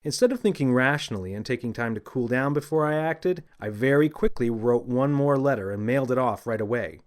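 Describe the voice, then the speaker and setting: A man narrating a scripted children's story in the first person, with an expressive, confiding storyteller manner. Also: mid-range voice, fairly quick. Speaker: audiobook narrator and author.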